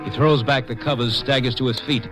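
A man giving grave, measured, scripted narration for a 1950s radio drama. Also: mid-range voice, fairly even intonation. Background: music.

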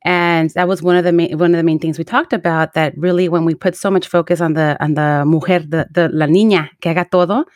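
A woman's voice: fairly low pitch, fairly even intonation, a little fast, hardly any pauses, darkish tone, dry recording, clean studio sound. A woman co-hosting an interview podcast, reflecting on her experiences in a mix of English and Spanish. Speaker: podcast co-host. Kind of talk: interview conversation.

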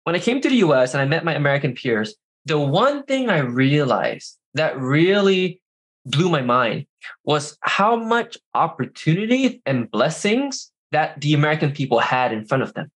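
Man in his 20s, from Malaysia, higher husky voice, emphatic conversational storytelling. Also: very animated, normal speed, fairly bright tone. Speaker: podcast guest, entrepreneur.

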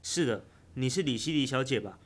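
A man's voice: medium pitch, animated, a little fast, close to an everyday voice. A man playing a smug, mysterious insurance agent. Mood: calm, persuasive. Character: mysterious young male insurance agent, calm and teasing. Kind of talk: audio drama acting.

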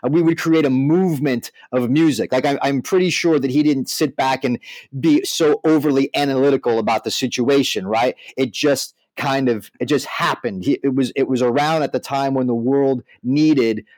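A man's voice: medium pitch, moderate pace, a little mellow, dry recording, rough recording. A man co-hosting a podcast, moving between reading aloud and casual commentary. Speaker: podcast co-host.

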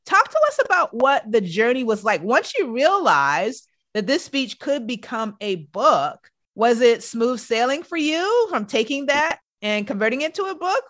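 A woman's voice: a little high, fairly bright tone, no room sound, studio quality. A woman hosting a faith-based interview, speaking directly to listeners in an encouraging way. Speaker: podcast host and coach.

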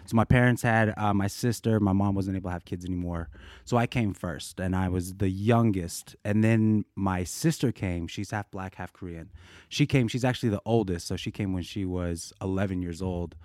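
A man from Colorado recounting his life as a dancer, loose and rambling, sometimes acting out what people said. His voice sits low, and the recording is dry and close. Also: steady tone, moderate pace, darkish tone, no echo. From United States, Colorado. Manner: laid-back, rambling, acts out quotes. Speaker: guest, dancer. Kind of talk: casual storytelling interview.

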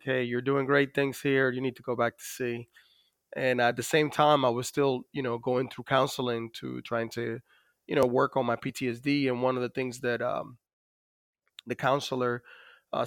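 Dominican guy in his 40s, smooth voice, lots of uh and you know, dry close sound. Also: mid-range voice, a bit bright, dry recording. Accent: Dominican Spanish. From Dominican Republic. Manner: halting, many fillers. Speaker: guest, Navy master chief. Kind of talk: interview storytelling.

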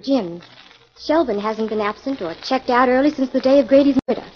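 A woman acting a sleuth's plucky female sidekick in a 1940s radio play. Her voice is on the high side, crisp and questioning, on a muffled recording with faint noise. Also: fairly quick, natural voice. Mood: inquisitive.

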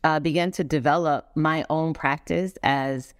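A woman's voice: low-pitched, steady tone, a little slow, smooth, dry recording. A middle-aged woman guest speaking earnestly and thoughtfully in a casual interview. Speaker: guest, executive director of a foundation.

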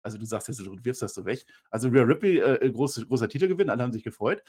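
A man with a husky voice gives casual, opinionated takes as a wrestling review co-host. He talks quickly with a very animated pitch and drops joking, ironic asides.

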